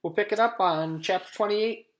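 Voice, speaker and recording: A man's voice: high-pitched, normal speed, a bit bright. A man reading a children's book aloud in the style of a teacher's storytime, narrating expressively.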